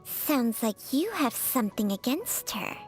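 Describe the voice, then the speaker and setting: A sly talking snake from a video game, sharp-tongued and teasing. The voice sits high and swings freely in pitch, with music underneath. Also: female voice, very animated, measured pace, rough recording. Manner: teasing, pointed. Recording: voice over background music.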